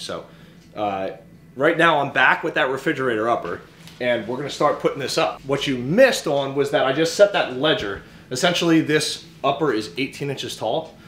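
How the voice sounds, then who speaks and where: A man's voice: mid-range voice, a bit bright, slight background noise. A man in his thirties talking casually to camera in a kitchen, explaining his renovation work step by step. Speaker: host, DIY home renovator. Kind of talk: casual vlog talk while working. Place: indoors, kitchen under renovation. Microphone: handheld camera at arm's length.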